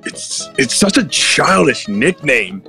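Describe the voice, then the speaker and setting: Man as a bright-voiced, grumpy anime schoolboy. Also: on the high side, huge pitch swings, slow pace, roomy sound, faint music behind, full character voice. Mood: exasperated. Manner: exasperated, hesitant. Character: grumpy, flustered high-school anime boy. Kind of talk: anime fan comic dub.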